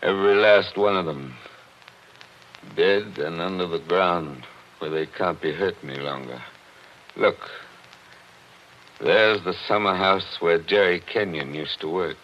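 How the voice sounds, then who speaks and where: A haunted lawyer from an old radio play, very low in pitch. He speaks formally and dramatically, brooding and uneasy. The sound is muffled vintage radio with clear noise in it. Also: a little slow, long pauses, fairly bright tone. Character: uneasy, brooding male attorney from New York. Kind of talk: 1940s radio acting. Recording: muffled, noisy 1940s radio recording.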